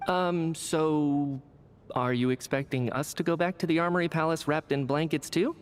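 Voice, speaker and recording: A man's voice: fairly high pitch, steady tone, normal speed, clear, resonant tone, long reverb tail, lightly acted. A man playing a playful, work-shy scientist in a video game, with a breezy, teasing, theatrical delivery. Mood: amused.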